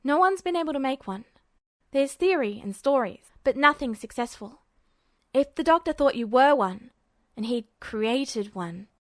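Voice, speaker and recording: A woman voicing a sharp, sarcastic teenage boy alchemist who explains things flatly in a story reading. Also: high voice, dry recording, clean studio sound. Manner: flat, sardonic, quick to explain.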